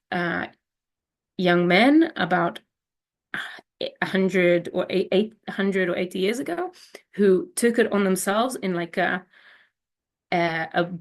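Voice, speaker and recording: A young woman from Israel giving a slide presentation, hesitant and full of fillers and restarts. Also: mid-range voice.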